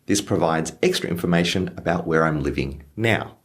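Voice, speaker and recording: A young man teaching English phrases to learners, slowing down on example lines. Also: sits low. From Australia. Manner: lively, stresses example phrases slowly. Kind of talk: clear teaching explanation for learners.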